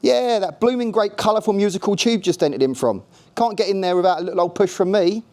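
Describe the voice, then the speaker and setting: A man's voice: high-pitched, quick pace, darkish tone, pitched above the performer's own voice. A man doing a chirpy Cockney afterlife worker. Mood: breezy. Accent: Cockney with a hint of West Country. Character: cheeky laid-back Cockney male afterlife worker.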